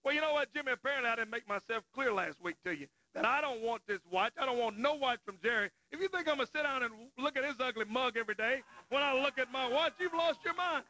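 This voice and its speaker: Brief: man, wrestling TV host, high bright voice, folksy and promotional. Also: animated, fast, hardly any pauses, dry recording. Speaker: wrestling TV announcer. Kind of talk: live wrestling TV commentary.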